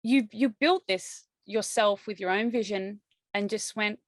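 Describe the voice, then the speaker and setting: Woman host, enthusiastic and affirming, mid pitch, dry recording. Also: unhurried, dry recording. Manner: affirming, enthusiastic, laughs often. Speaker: interview host. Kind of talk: conversational interview.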